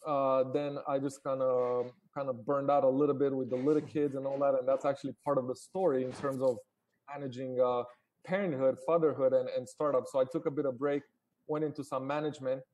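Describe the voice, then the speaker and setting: A man's voice: medium pitch, flat delivery, a little fast, a little mellow, rough recording. A man in his forties, originally from Romania, talking casually as a startup founder guest on a live call, with many fillers and restarts.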